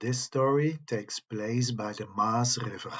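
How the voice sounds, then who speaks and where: A man reading scripted tales aloud as a storyteller, with a husky voice. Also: mid-range voice, slow, a bit bright. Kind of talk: slow scripted ghost story narration.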